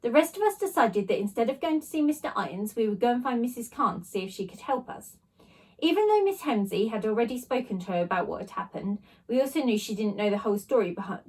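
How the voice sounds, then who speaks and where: Female storyteller for children's book: fairly high, lightly husky, expressive read-aloud delivery. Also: low-quality recording. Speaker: teacher reading a story aloud.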